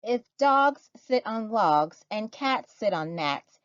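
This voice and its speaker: A woman's voice: medium pitch, animated, takes it slowly, no room sound, highs cut off, voice pushed up. A woman voicing a fussy storybook cat, a stickler for rules, listing things insistently in sing-song.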